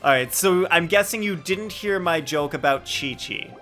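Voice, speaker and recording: A man's voice: a little high, normal speed, sharp tone, soft background music. A man doing casual live commentary as he streams a video game, playful, joking and mock-argumentative.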